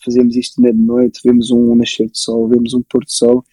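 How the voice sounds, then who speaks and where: A man's voice: medium pitch, completely flat delivery, normal speed, warm tone, husky, dry recording, poor audio. A man in his twenties with a European Portuguese accent, telling his own story casually as an interview guest. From born in Belgium, grew up in Portugal from age six. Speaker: interview guest, photographer and filmmaker.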